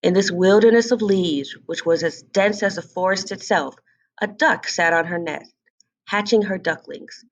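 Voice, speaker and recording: A woman's voice: fairly low pitch, normal speed, lo-fi sound. A woman storyteller expressively narrating a scripted children's story.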